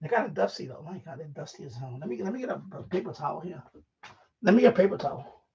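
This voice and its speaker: A man with a creaky, gravelly edge rambles through an informal live stream from a room at home. His talk is slangy and loose, half to himself. The sound is dull and lo-fi, picked up from a distance. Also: fairly high pitch, sing-song, fast, a little mellow, a little hiss or hum. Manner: informal, slangy, swearing, talking to himself while handling objects. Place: room at home being set up as a radio shack.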